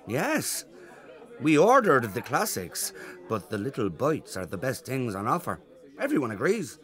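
A man's voice: medium pitch, wildly swooping pitch, measured pace, fairly bright tone. An actor playing a cheerful middle-aged father coaxing his child in a children's audio drama. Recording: party crowd behind the voice.

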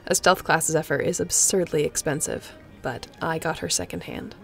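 A woman playing a guarded, wry spaceship captain in an intimate sci-fi audio roleplay, with a gravelly voice and dry, teasing, conversational delivery. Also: deep voice, steady tone, normal speed, fairly bright tone, faint music behind. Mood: reflective storytelling. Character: guarded, wry female spaceship pilot captain, softening toward an old friend.

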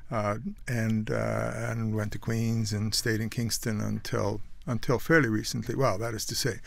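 A man's voice: lowish voice, flat delivery, moderate pace, vocal fry, dry recording. A man in his sixties, raised in Canada, telling his life story in a casual interview, rambling with restarts and wry asides, on a studio mic. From Netherlands, raised in Canada. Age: 60s. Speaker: guest actor and painter. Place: small studio with purple curtain. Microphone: boom studio mic.

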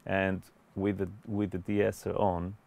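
A man in his forties giving an explanatory tutorial as a music producer, in a measured, hesitant way. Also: deep voice, flat delivery, vocal fry, noisy background. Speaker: music producer and mixing instructor. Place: indoor recording studio.

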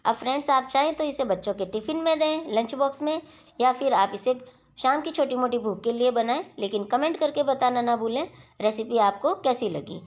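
Woman with a high voice doing a calm cooking tutorial voiceover, chatting to viewers like friends. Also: fairly quick. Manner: steady instructional, addresses viewers as friends. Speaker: cooking channel host. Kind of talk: voice-over recipe tutorial.

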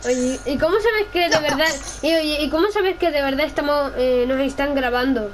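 Casting: high, youthful gamer, playful and excitable in casual roleplay banter, light noise behind. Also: moderate pace. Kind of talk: casual chat over gameplay roleplay.

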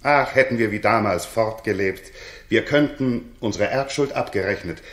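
A man's voice: fairly low pitch, normal speed, fairly bright tone, lightly acted. An actor playing a courteous visiting king in a 1960s German radio drama, speaking formal, eloquent verse. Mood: fond, courtly. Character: courteous visiting king of Bohemia, warm old friend. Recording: roomy 1960s radio studio.

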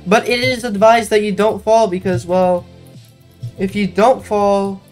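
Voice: mid-range voice, flat delivery, unhurried, a little mellow. A casual game commentator talking through a walkthrough in an informal way, with self-mocking asides. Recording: music behind the voice. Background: game music.

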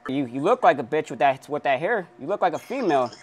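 A man's voice: a little high, very animated, fast, slight background noise. A young man trolling strangers on a random video chat, mock-tough and boastful. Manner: mock-tough, teasing, gym-bro boasting. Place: small pink-walled bedroom, indoors.

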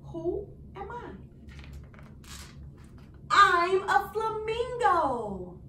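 A young woman reading a picture book aloud to young children in an animated, sing-song way with deliberate phrasing. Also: very high-pitched. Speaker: children's storyteller. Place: small indoor room. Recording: roomy, distant mic, faint noise.

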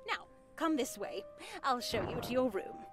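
A woman playing an elderly nun in a game, speaking formally and deliberately with a gently controlling manner. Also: on the high side, expressive, music in the background, close to an everyday voice. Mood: solemn, instructive. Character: stern, composed elderly nun in charge of a convent. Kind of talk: visual novel voice acting.

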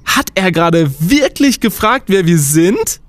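Man voicing a pompous young captain, blustering, accusing, slightly high voice, comic radio play. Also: very animated, measured pace, noisy background. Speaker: team captain.

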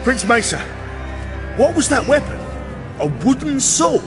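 World-weary sellsword captain: high-pitched for a man, blunt, commanding, fantasy audio drama with score. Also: big pitch swings, a little slow, music in the background, heavily acted. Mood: wary, resigned. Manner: plain-spoken, gruffly commanding.

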